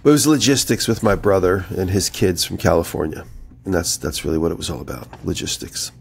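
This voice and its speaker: A man's voice: low-pitched, a little fast, creaky, a little hiss or hum. A man co-hosting a podcast in casual chat, telling rambling anecdotes, slightly nasal from a head cold. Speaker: podcast co-host.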